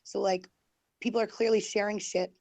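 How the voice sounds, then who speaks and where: Dark-voiced woman losing her voice. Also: mid-range voice, level tone, moderate pace, dry recording. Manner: rambling, defensive, many fillers and restarts. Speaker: guest. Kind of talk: heated online group call.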